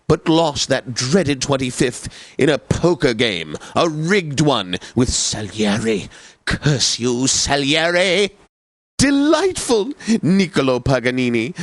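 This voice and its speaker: Game radio host, male actor: mid-pitched man, pompous and whimsical, theatrical classical-music announcing, very dry booth sound. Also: no echo, close to an everyday voice. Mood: theatrically excited. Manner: pompous, flamboyant, precise announcing.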